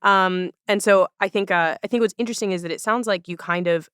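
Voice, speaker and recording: Podcast host, mid pitch, clear smooth tone, dry close sound, casual reflective chat, wordy questions. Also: brisk, a bit bright, no room sound.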